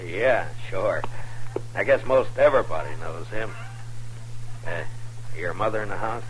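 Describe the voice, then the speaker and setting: A man plays a weary American lawman in an old western radio drama, with a very low voice. He is blunt and deadpan, using few words. The recording is an old, faintly noisy broadcast. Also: measured pace, close to an everyday voice. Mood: gentle, grave. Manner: terse, deadpan. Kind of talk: 1950s radio drama acting.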